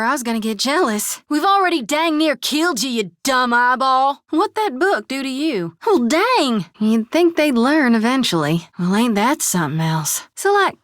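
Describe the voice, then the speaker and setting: Woman voicing a folksy young priestess, plainspoken, slightly high voice, dry close recording. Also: no echo, natural voice. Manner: folksy, plainspoken, lots of "ain't" and "well, hell". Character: devout young female priest, folksy and earnest. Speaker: party companion. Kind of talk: video game voice lines.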